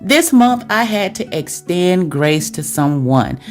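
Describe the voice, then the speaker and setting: A woman's voice: lowish voice, very animated, takes it slowly, darkish tone, faint music behind. A woman podcast host talking directly to her listeners in a chatty, confiding girlfriend-to-girlfriend way, with rhetorical questions.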